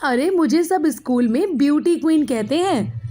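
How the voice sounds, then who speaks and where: A woman's voice: sits high, animated, moderate pace, dark tone, voice pushed up. A woman voicing a vain, spoiled young girl with a snooty, taunting manner in a moral story. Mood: haughty.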